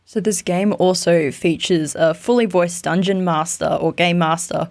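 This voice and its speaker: Woman podcast interviewer, lowish voice, really dry recording, asking questions. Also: steady tone, unhurried, darkish tone, dry recording.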